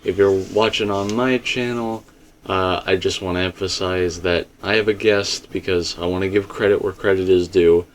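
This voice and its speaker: A man with a fairly low voice hosts a relaxed discussion show. He talks informally to his viewers and explains his points step by step, as if chatting through an idea with a guest. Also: moderate pace, slight background noise. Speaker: host of a fan theory discussion.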